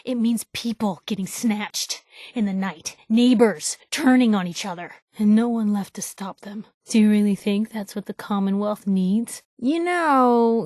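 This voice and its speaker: A woman playing a wry, spirited young reporter who travels as a companion in a video game, with a sardonic, chatty and expressive delivery. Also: mid-range voice, no echo, studio quality, natural voice. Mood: earnest, wry. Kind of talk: acted game dialogue lines.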